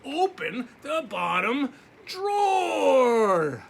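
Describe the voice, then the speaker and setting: A man voicing the dark as a mysterious being in a storybook, calling out loudly with slow, stretched words. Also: very high voice, sing-song, vocal fry, voice pushed up, full character voice. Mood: booming, beckoning. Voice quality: loud, drawn-out calling voice.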